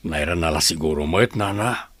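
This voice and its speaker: A man's voice: rumbling low, moderate pace, a bit bright. A man playing a dutiful, concerned grown son in a radio drama, talking respectfully with his mother.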